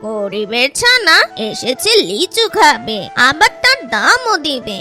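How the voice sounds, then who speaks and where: A woman's voice: sits high, sing-song, slow, pushed and bright, soft background music, voice pushed down, heavily acted. A woman voicing a stern, contemptuous mother in a cartoon, scolding and snobbish. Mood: scornful, angry. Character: stern, scornful mother guarding her lychees.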